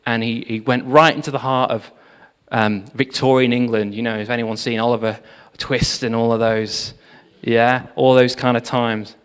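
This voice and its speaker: A man giving a sermon, telling revival history in an animated storytelling manner with frequent fillers. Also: medium pitch. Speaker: preacher. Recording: long hall reverb.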